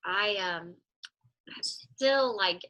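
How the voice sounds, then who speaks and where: A woman leads a casual group call with a bright, forceful voice. She rambles along with plenty of fillers. A touch of congestion lingers from a recent cold. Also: medium pitch, drawn-out words, dry recording. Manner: chatty, rambling with many fillers. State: congested, recovering from a head cold. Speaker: fitness coach hosting a group call. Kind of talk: casual group video chat.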